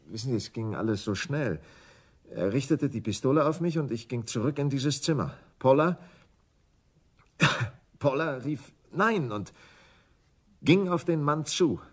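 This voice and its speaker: A male actor playing a shaken, grieving widower in a German radio crime play, speaking haltingly. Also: medium pitch, expressive, husky.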